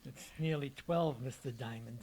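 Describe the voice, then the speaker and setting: An actor playing an unhinged, grandiose bomber in an old-time radio detective drama, halting and stammering with rapture. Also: male voice, mid-range voice, darkish tone, slightly rough, rough recording. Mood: tense, threatening. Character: unhinged male bomber, grandiose, raptly obsessed with imagined music. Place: live stage performance in a hall. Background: music.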